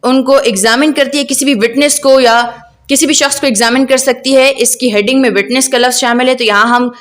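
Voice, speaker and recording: Pakistani lady explaining law like a teacher, kinda high voice, asks stuff then answers it, drops in english terms. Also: fairly even intonation, a little fast. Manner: didactic, asks questions and answers them; mixes English legal terms into speech.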